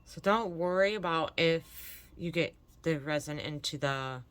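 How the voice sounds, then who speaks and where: A woman's voice: sits low, a little slow, clean tone, no room sound. A woman from Michigan hosting a crafting tutorial, chatting and encouraging viewers while she works, her voice congested from allergies. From Michigan, USA. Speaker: crafting channel host. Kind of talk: casual crafting tutorial chat.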